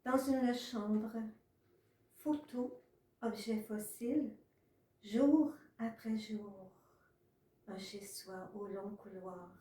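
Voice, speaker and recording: Poetry reader: woman, mellow and unhurried delivery, recorded in an echoey room. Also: mid-range voice, fairly even intonation. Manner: measured, pausing between short phrases. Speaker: author reading own poetry. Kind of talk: literary poetry reading. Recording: echoey room, distant from mic.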